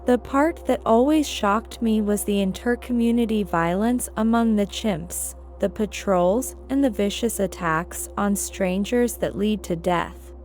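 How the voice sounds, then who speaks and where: Female reader for quotation narration, fairly high voice, measured delivery, light music underneath. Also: a little mellow. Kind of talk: slow, scripted reading of quotations. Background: background music.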